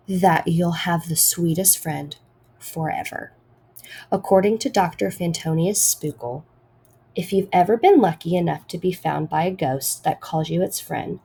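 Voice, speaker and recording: A woman reading a picture book aloud to children in a deliberate, expressive storytime style. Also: lowish voice, creaky, a little hiss or hum.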